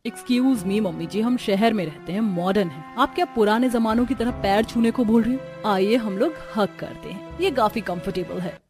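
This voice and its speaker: A woman's voice: mid-range voice, normal speed, hardly any pauses, music in the background, natural voice. A woman voicing a snobbish young modern daughter-in-law in a cartoon, sassy and peppering her Hindi with English. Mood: breezy, dismissive.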